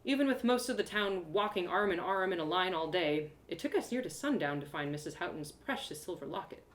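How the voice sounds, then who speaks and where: A woman narrating a first-person adventure story in an expressive, theatrical way with dramatic pauses. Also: lowish voice, reverberant. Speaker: storyteller performing own one-woman audio drama.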